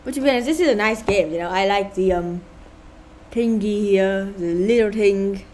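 Vietnamese accent lady talking over a kids game, sighing a lot, sarcastic, mid pitch, faint hum behind. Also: a little mellow. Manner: sighing, sarcastic asides, halting and unhurried. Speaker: gaming channel host. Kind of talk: casual gameplay commentary.

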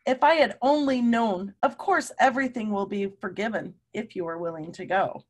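A woman's voice: mid-range voice, normal speed, natural voice. Woman playing a stern male museum professor, emphatic. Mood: surprised, forgiving. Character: angry male professor, museum director, scolding.

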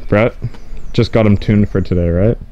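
A young man with a fairly low voice talks casually to a handheld camera outside. He sounds like a vlogger chatting off the cuff, with some outdoor noise around him. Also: steady tone, normal speed, dark tone, lo-fi sound. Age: young adult. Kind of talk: casual outdoor vlog chat. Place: outdoors in a driveway by a house. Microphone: handheld camera at arm's length.

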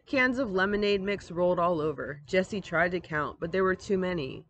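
A middle-aged woman reading a children's novel aloud like a teacher, in an expressive storytelling style. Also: fairly low pitch, normal speed. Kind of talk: expressive storybook read-aloud with questions to listeners. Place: screened pool patio outdoors. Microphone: device camera at about arm's length. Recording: outdoor ambient noise, device microphone.

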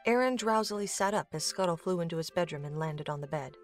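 A woman's voice: on the low side, fast talker. A woman reading scripted narration for a fantasy audio drama. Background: music.

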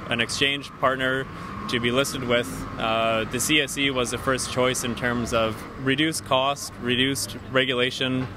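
A man in his thirties giving measured, businesslike explanations as an executive interviewed outdoors on a handheld microphone. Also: medium pitch. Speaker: company CEO being interviewed. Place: outdoors on a busy city street. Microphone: handheld interview microphone held by interviewer. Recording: clear street and traffic noise.

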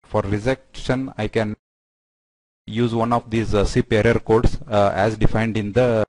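A man narrating a software product demo step by step in a halting, explanatory way. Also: on the low side, steady tone, low-quality recording.

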